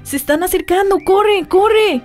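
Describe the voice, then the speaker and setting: An actress playing a headstrong young woman in a radio soap opera, with a Costa Rican accent and halting, breathless delivery. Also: very high voice, music in the background. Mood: tender, anxious. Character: headstrong young woman, secretly in love, daring daughter.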